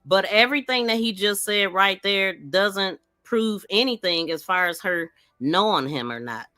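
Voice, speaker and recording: A woman's voice: medium pitch, animated, measured pace, pushed and bright, no echo, studio quality. A woman hosting a casual true-crime commentary, speaking in a skeptical, questioning manner. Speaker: podcast host.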